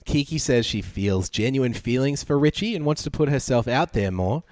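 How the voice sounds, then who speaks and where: A man's voice: mid-range voice, lively intonation, moderate pace, darkish tone, no room sound. An Australian man casually recapping a show from home, laid-back and sarcastic with lots of ums. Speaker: podcast co-host.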